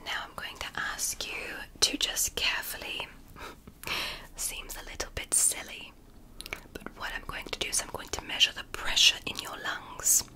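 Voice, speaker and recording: A woman whispering as a gentle alien woman doctor in an ASMR roleplay, softly praising and reassuring her patient. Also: poor audio. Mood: soothing. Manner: soft, slow, praising and reassuring.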